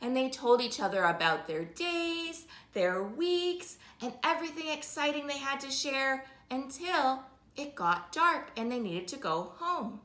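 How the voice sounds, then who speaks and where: Children's storytime host, female, high bright voice, rhythmic and sing-song delivery, recorded in a living room. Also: animated. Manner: slow, sing-song, repetitive rhythmic phrasing with drawn-out words. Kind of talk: animated oral storytelling for young children. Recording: roomy indoor echo.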